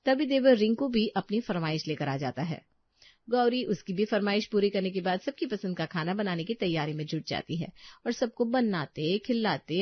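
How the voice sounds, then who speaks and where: A woman's voice: medium pitch, no echo, highs cut off. A woman narrating a scripted family story expressively, addressing her listeners directly.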